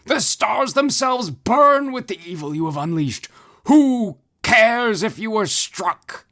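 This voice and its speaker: A man's voice: high voice, expressive, takes it slowly, sharp tone, pitched above the performer's own voice, full character voice. A man voicing an old temple priest who shrieks and sputters in rage. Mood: shrieking fury.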